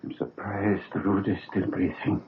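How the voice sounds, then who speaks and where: An actor playing a calm, formal male assistant in a 1970s radio drama. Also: low voice, unhurried, slight background noise. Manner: formal, clipped, accented. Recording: tinny old radio broadcast.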